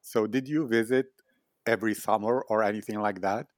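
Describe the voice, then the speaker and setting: A man's voice: fairly low pitch, steady tone, normal speed, no echo. Man interviewer with a very dry, close sound. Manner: long, winding, analytical questions. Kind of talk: reflective conversational interview.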